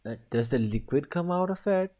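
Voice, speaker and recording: A man's voice: on the high side, lively intonation, normal speed, dark tone. A man from Nepal reacting casually to a video, exclaiming and asking curious questions.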